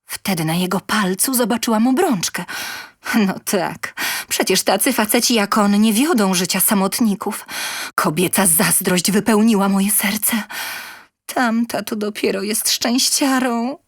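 A woman narrates a first-person story from a script. Her voice is mid-pitched and her reading expressive and dramatic, recorded dry. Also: unhurried, no echo. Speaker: story reader.

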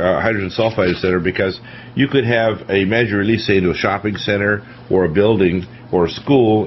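Male panel host, deep voice, conversational but technical, dull lo-fi broadcast sound. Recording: dull lo-fi radio audio, faint noise.

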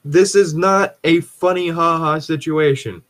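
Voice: mid-range voice, steady tone, a little slow, fairly bright tone, no echo. An anxious, exasperated podcast co-host pleading and swearing in casual chat with friends. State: anxious, stressed.

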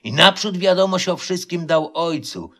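A man reading a literary legend as a solemn, deliberate dramatic narration. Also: sits high, lively intonation, a bit bright. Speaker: storyteller, reader.

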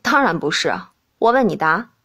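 A man's voice: high-pitched, sing-song, normal speed, pushed and bright, slightly rough, pitched below the performer's own voice, full character voice. A woman playing a teasing, nonchalant young prince in an audiobook. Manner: nonchalant, slurred when drunk. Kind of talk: audiobook character voice.

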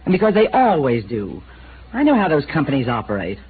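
An actress playing a sarcastic, defensive young widow in a 1950s radio drama, firing back quick retorts. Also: female voice, very deep voice, wildly swooping pitch, heavily acted. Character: sharp-tongued young widow, defensive and tough. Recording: tinny old radio broadcast with hiss.

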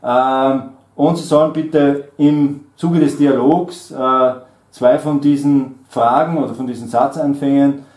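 A middle-aged man runs a spoken exam, pausing often on fillers. His tone is mellow and relaxed. The sound is roomy, from a camera across a small room. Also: medium pitch. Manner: hesitant, many fillers, friendly laughs. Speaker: German trainer and examiner. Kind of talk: oral language exam conversation. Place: small indoor room at a table.

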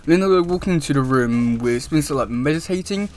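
Higher-voiced young man, halting casual talk. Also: expressive, darkish tone. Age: young adult. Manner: halting, hesitant, wordy delivery. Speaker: YouTube host reviewing comic news. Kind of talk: casual chat to camera. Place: small room indoors at home.

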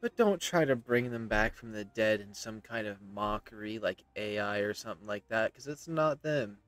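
A man's voice: lowish voice, animated, normal speed. A man in his thirties giving casual, opinionated, emphatic commentary as he plays a video game. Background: faint game music.

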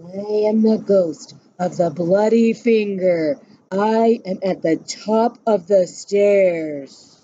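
A woman voicing a moaning ghost with a bloody finger, drawing each word out slowly. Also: mid-range voice, mellow, dull audio, a little hiss or hum, close to an everyday voice. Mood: eerie moaning. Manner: slow drawn-out moaning.

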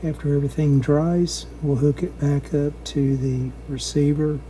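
A man giving a casual, low-key, step-by-step narration of hands-on repair work, matter-of-fact and a little hesitant. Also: steady tone, unhurried, dark tone, slight background noise. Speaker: radio restorer narrating a repair.

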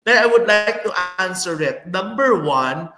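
Slow, high-voiced Filipino lecturer. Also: male voice, lively intonation, over music. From Philippines. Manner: slow and explanatory, checks in with "okay?". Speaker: visiting lecturer. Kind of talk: answering a question in an online lecture. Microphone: laptop webcam on a video call. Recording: echoey video call.

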